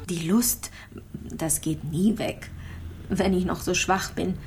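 An actress playing a lonely, gravely ill clinic patient in a radio drama, speaking plainly and wistfully. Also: female voice, fairly low pitch, fairly even intonation, slow pace, a little hiss or hum. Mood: tender, wistful. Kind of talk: naturalistic radio drama monologue.

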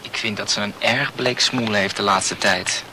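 A man's voice: lowish voice, steady tone, measured pace, noisy background, natural voice. A man playing a chatty, opinionated male student in a radio play, blunt and gossipy, swearing mildly. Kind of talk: conversational radio drama acting.

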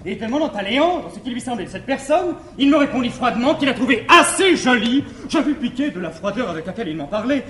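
A lovestruck young gentleman of classic French farce. His very high voice races along in anxious, effusive theatrical declamation. The old stage recording is roomy and echoing. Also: very animated, fast, hardly any pauses, full character voice. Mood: rapturous, effusive. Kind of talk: classical stage comedy acting.

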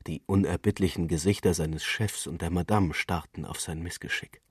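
A man narrating literary fiction for radio in a measured, deliberate storytelling manner. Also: deep voice, fairly even intonation, husky, no room sound, studio quality.

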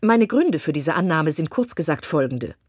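A woman's voice: deep voice, big pitch swings, moderate pace, darkish tone, slightly rough, no room sound, studio quality, exaggerated voice. A woman voicing a pompous, long-winded male clergyman who condescends as he proposes, in an audiobook. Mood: insistent. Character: pompous self-satisfied male clergyman suitor. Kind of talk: audiobook reading of a classic novel.

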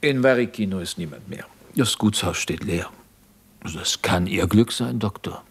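A man's voice: low voice, measured pace, husky, exaggerated voice. A man playing a boisterous, self-satisfied partisan leader in a radio play, hearty, brusque and repeating himself for emphasis. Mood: evasive, brusque. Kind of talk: 1950s German radio drama acting.

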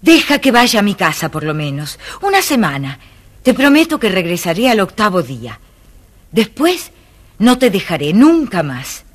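An actress playing a kind, devoted young heroine in a children's audio storybook, earnest and theatrical. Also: female voice, medium pitch, sing-song, moderate pace. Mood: pleading, reassuring.

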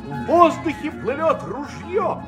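Gleeful, high-voiced alien visitor. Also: male voice, slow, over music. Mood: gleeful showing off. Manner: theatrical, chuckling, mock-courteous. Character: playful, condescending alien visitor who relishes human violence. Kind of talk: Russian radio play acting.

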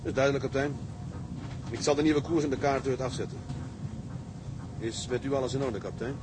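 An actor playing a dutiful, practical first mate in a seafaring radio drama, matter-of-fact and respectful. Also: male voice, mid-range voice, a little fast, background noise, lightly acted. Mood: obedient, concerned.

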